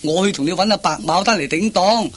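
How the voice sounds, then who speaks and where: A man's voice: high voice, fast, breathy edge. An actor playing a glib, self-assured male workmate who coaxes and schemes in a radio comedy. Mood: scheming, helpful. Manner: coaxing, glib, exclamatory. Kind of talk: Cantonese radio comedy acting.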